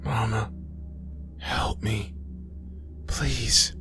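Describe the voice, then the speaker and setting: A man's voice: lowish voice, fairly even intonation, moderate pace, music in the background, pitched above the performer's own voice, natural voice. A man voicing an exhausted, dying woman in a horror story, weary and pleading yet defiant. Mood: weak pleading.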